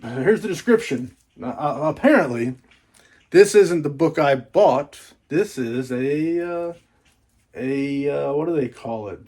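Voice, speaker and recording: A man's voice: on the high side, darkish tone. A man doing a casual, folksy book unboxing vlog, rambling playfully with repeats and little asides.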